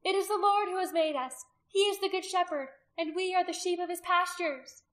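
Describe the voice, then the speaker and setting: A woman reader plays a fervent woman believer in a dramatic storybook reading. She proclaims her faith in a raised, high, bright voice, earnest and fearless. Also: moderate pace. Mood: bold proclaiming. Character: devout, brave woman believer pleading and preaching.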